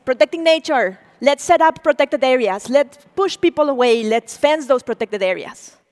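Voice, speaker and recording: A woman in her forties, a native Spanish speaker, giving an animated lecture on stage as a program director, engaging the audience with questions. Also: sits high. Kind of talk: public lecture. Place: large auditorium stage. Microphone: stage mic, speaker walking. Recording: long reverb of a hall.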